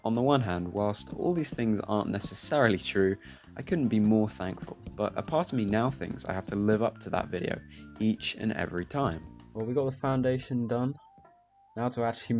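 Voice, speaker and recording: A teenage man speaking calmly and reflectively, commenting over his gameplay. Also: on the low side. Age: teens. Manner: soft-spoken, reflective. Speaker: YouTuber. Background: faint music.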